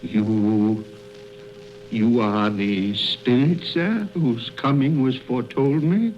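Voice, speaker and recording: A man's voice: medium pitch, slow, lightly acted. An actor playing a frightened, remorseful old miser in a radio drama, exclaiming, stammering and repeating his words. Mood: frightened, pleading. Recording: muffled radio broadcast with music underneath.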